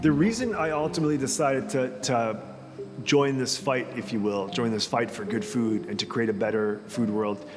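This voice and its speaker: A man from Israel, founder of an urban farming company, explaining his mission in an earnest, measured way. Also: medium pitch. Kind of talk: interview-style talk to camera. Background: background music.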